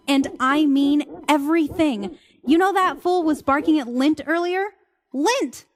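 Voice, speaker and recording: An actress in an audio play playing an irate, sarcastic, fed-up wife with a theatrical, exasperated way of talking. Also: female voice, high voice. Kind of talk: audio drama dialogue.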